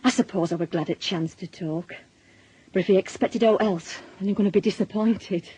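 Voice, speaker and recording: A woman's voice: medium pitch, moderate pace, dark tone, breathy edge, rough recording. An actress playing a worried, plain-speaking working-class Northern English mother, middle-aged, in a naturalistic radio drama, confiding and colloquial. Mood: weary, reflective. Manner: colloquial, world-weary, confiding.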